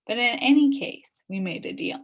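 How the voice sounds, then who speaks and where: A woman reading a children's story aloud in an expressive, dramatic way, dropping into hushed suspense at times. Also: fairly high pitch, moderate pace, low-quality recording. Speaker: story reader.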